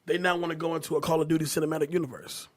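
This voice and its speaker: A man's voice: a little high, flat delivery, racing. A man hosting a casual podcast panel, talking emphatically with some swearing and stumbling restarts. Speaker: podcast host. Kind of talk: casual chat moderating a panel.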